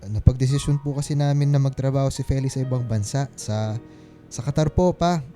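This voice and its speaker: A man playing a gentle, earnest husband and father around forty in a radio drama. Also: medium pitch, steady tone, a little fast, faint music behind, lightly acted. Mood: apologetic.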